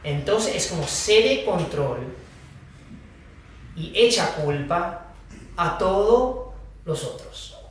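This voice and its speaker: A man speaks in slow, halting phrases, teaching the audience as a Buddhist teacher. His voice sits fairly high, and he hesitates often between ideas. The sound comes from a distance and rings through a very echoey hall, with faint noise behind it. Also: lively intonation. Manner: slow, halting, with pauses and fillers. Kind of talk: dharma talk. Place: hall of a foundation. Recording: echoey room, distant mic.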